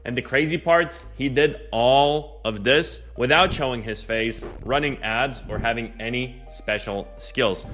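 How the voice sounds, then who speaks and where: A man hosting an interview as a business coach, opening with an upbeat hype-style pitch before chatty questions. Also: a bit bright. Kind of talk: scripted intro then casual interview.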